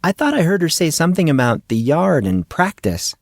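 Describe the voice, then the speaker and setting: A man's voice: on the high side, sing-song, a little fast, dry recording, clean studio sound, voice pushed up. A man voicing a young schoolgirl who answers helpfully and a little tentatively in an audiobook classroom. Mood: hesitant, helpful.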